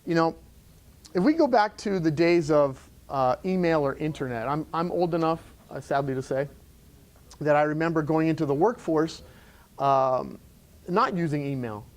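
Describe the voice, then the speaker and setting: A middle-aged man speaks to a live audience in an event room. His voice sits a little high for a man. He rambles in a friendly, conversational way, full of fillers and restarts. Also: animated, normal speed. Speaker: entrepreneur giving a talk.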